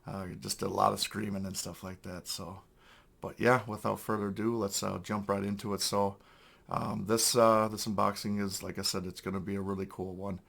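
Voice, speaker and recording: A young man talks through a toy unboxing in a hoarse, worn-out voice, clearing his throat often. His voice sits fairly low and carries a heavy gravelly fry, and he rambles with plenty of fillers. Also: little pitch movement, moderate pace, fairly bright tone. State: hoarse, lost voice. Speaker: toy collector channel host. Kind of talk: casual unboxing review.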